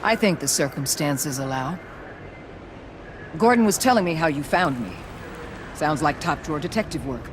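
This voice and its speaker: An actor playing a measured, proud official in a video game, speaking in a formal, controlled way. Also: male voice, a little high, expressive, natural voice. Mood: measured, reserved. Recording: clear outdoor traffic noise behind.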